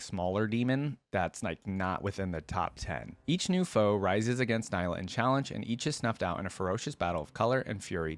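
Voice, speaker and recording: A man's voice: on the low side, no room sound. A man hosting a reaction video, reading a story aloud and adding casual, musing commentary as he thinks aloud.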